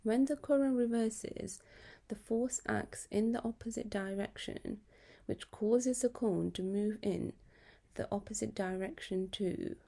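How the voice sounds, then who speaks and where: Female educational narrator, explanatory and measured, mellow timbre with noticeable vocal fry. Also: medium pitch. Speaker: physics tutor presenting. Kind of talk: scripted narration of an exam lesson.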